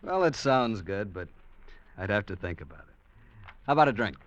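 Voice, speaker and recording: Skeptical moneyed backer in old radio drama; lowish voice, cautious, muffled vintage sound. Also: male voice, very animated, normal speed. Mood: doubtful, measured. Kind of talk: 1940s-50s radio drama acting. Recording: dull old radio broadcast recording.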